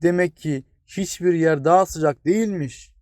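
A man's voice: a little high, moderate pace, warm tone, no room sound, clean studio sound, voice pushed up, close to an everyday voice. A man voicing tiny young blades of grass in a children's storybook, naive, eager and childlike. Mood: disappointed, plaintive. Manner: childlike, exclaiming and questioning. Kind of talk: children's storybook character reading.

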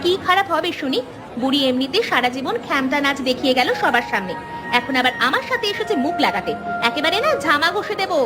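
A woman voicing a sassy, sharp-tongued young village vegetable seller in a cartoon, taunting and combative. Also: sits high, very animated, quick pace, music in the background. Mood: mocking. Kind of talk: cartoon character acting.

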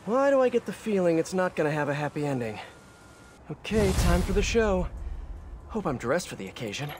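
An actor playing an earnest, wisecracking young superhero in a video game, quippy and often talking to himself. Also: male voice, high voice, very animated, fairly quick, background noise. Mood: musing. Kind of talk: video game hero dialogue. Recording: loud music over voice.

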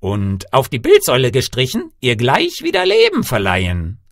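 A man's voice: medium pitch, wildly swooping pitch, moderate pace, gravelly, no room sound, clean studio sound, voice pushed up. A man voicing a solemn, stately old man in a storybook tale, speaking in a declamatory way. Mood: solemn bargaining. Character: stately long-bearded old man, solemn, demanding a grim price. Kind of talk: storybook character voice.

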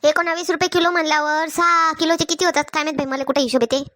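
Cartoon village woman, actress: very high and sharp, bossy, blunt haggler. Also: level tone, rapid-fire. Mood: bargaining. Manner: blunt, demanding, colloquial. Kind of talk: Marathi comedy cartoon dialogue.

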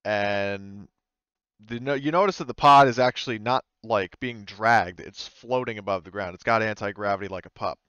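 A man casually running a tabletop sci-fi game as game master, thinking aloud in a halting, offhand way. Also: fairly low pitch, a bit bright, clear, resonant tone, dry recording. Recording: muffled voice-chat audio.

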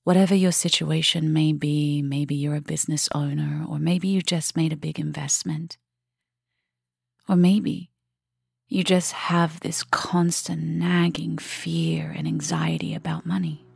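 A woman guiding a meditation in a soft, breathy, husky voice that often nears a whisper, gentle and unhurried with long pauses. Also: sits low, no echo, studio quality. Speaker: meditation guide. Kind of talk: guided meditation, soft and soothing delivery.

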